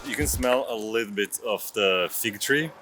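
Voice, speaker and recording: Low-voiced man vlogging casually. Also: moderate pace, bright, forceful tone, faint music behind. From France, moved to Norway at six. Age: 30s. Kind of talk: casual travel vlog narration.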